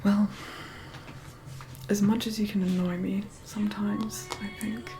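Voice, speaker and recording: A woman playing an aloof, reluctantly caring vampire woman in an ASMR roleplay, soft-spoken and breathy, often whispering, slow and condescending. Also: medium pitch, level tone, close to an everyday voice. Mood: grudgingly fond. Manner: slow, condescending, grudgingly kind. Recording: loud music behind the voice. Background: music.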